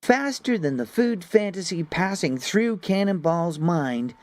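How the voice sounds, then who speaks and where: Slow, lively male storybook narrator. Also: high-pitched. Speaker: audiobook narrator.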